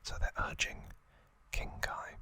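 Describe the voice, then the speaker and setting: Slow whispering male ASMR host. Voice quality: whispered, breathy and unvoiced. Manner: soft, unhurried, short phrases with pauses. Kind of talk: whispered ASMR recap narration.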